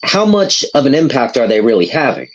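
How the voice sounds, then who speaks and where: Brief: thirties man, mid pitch, rambling hedger, muffled lo-fi call line. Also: sing-song, fairly quick. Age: 30s. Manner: loose, rambling, hedging with you know. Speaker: panel guest. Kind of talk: casual discussion. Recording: dull call audio.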